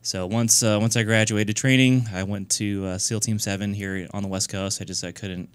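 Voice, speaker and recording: Deep smooth voice, guy in his 30s from new hampshire, dry close sound, halting and earnest, lots of ums. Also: fairly even intonation, no echo. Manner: earnest, halting, many fillers and restarts. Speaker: guest, nonprofit founder. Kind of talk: casual radio interview.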